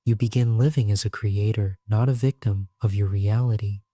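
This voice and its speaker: A man narrating a scripted guided sleep meditation in a solemn, measured, earnest way. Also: lowish voice, fairly even intonation, dark tone, dry recording, studio quality.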